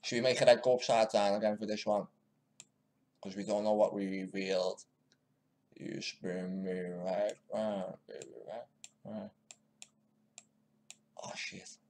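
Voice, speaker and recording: A man's voice: on the low side, little pitch movement, unhurried, long pauses, darkish tone. A man giving casual, mocking commentary while editing clips, often muttering to himself. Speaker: video maker commenting on news clips.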